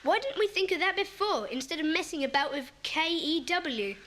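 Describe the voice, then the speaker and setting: Eager, high-voiced bossy schoolboy. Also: female voice, animated, normal speed, fairly bright tone. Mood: keen, earnest. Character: Peter, bossy eager schoolboy leader of child sleuths. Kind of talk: children's audio dramatisation. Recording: hissy old cassette recording.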